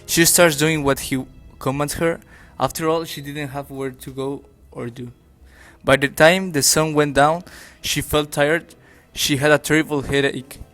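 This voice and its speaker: A man's voice: on the high side, steady tone, a little slow, fairly bright tone, soft background music. Young man narrating a fairytale.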